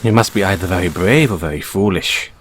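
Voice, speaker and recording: A man with an Irish accent voicing a sly, playfully menacing bunny mechanic who is a mafia boss, in an intimate, teasing, unhurried audio roleplay style. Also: deep voice, a little hiss or hum, natural voice. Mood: probing, menacing. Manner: teasing, unhurried, coaxing with tag questions.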